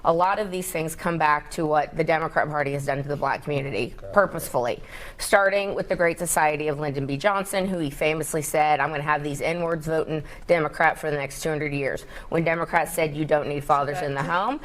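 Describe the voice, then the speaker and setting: Assertive woman, very low voice. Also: steady tone, fairly quick. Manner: assertive, argumentative. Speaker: debate panelist. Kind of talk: panel debate.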